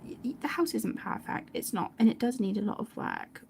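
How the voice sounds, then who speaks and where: A woman's voice: medium pitch, huge pitch swings, a little fast, creaky, slight background noise. A British woman chatting casually and in a rambling way as she hosts a colouring stream, often breaking off her own sentences. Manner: chatty, rambling, self-interrupting. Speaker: host of an adult colouring channel. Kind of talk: casual live chat while colouring.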